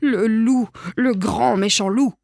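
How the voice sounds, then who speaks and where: A woman's voice: medium pitch, lively intonation, slow pace, vocal fry, no room sound, clean studio sound, exaggerated voice. Woman putting on a big, brash goat bully. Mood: panicked. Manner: taunting, threatening.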